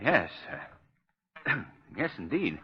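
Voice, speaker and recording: An actor playing a suave, unflappable gentleman sleuth in a 1940s radio mystery, polite and dryly understated. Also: lowish voice, very animated, slow pace, breathy edge, exaggerated voice. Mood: dry, wary. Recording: muffled vintage 1940s radio recording.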